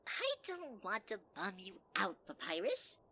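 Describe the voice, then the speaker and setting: An actor playing a manipulative, sinister flower creature, with a sweet and mocking singsong delivery in a fan audio drama. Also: male voice, sits high, wildly swooping pitch, measured pace, pushed and bright, full character voice. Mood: sugary.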